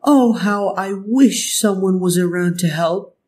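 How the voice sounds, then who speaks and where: A man's voice: high-pitched, measured pace, darkish tone, a little hiss or hum, voice pushed up. A man voicing a spoiled, emphatic little princess in a children's storybook read-aloud. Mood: upset. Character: spoiled bossy little princess girl, later contrite.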